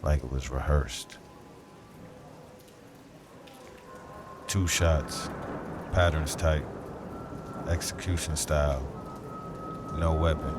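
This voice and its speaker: A man playing a brooding, street-smart detective who narrates a noir audio drama in measured, terse, dramatic lines over music. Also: very deep voice, noisy background. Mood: grim, observant.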